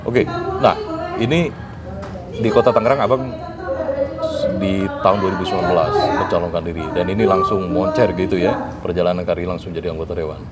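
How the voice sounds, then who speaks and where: A man hosting a talk show interview, brisk and quick to affirm with short interjections. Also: low voice, over a noisy crowd.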